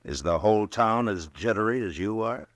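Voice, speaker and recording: An actor playing a calm, laconic frontier marshal with dry humor in a 1950s radio drama. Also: male voice, on the low side, normal speed, dry recording, close to an everyday voice.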